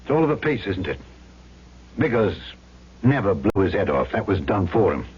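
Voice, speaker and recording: A man's voice: lowish voice, big pitch swings, normal speed, breathy edge, full character voice. An actor playing a plain-spoken South Seas trader who also narrates his story, in an old-time radio drama. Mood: resolute. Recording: dull vintage radio recording, music behind.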